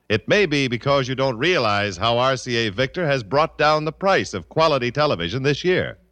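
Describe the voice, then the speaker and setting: A man's voice: mid-range voice, a bit bright, no echo. An American man announcing and narrating a 1950 radio show in a polished, declamatory style. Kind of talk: 1950 radio scripted narration and sponsor pitch.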